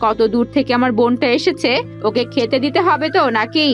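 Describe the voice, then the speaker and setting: A woman's voice: high-pitched, brisk, faint music behind, close to an everyday voice. A woman playing a scheming, bossy village sister-in-law in a cartoon fairy tale, scolding and giving orders. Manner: scolding, commanding.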